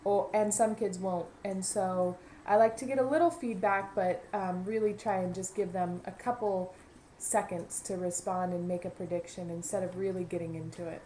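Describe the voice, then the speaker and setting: A woman's voice: lowish voice, fairly even intonation, moderate pace, dark tone, slight background noise, poor audio. A woman, a kindergarten teacher, reading a children's story aloud and adding teaching asides.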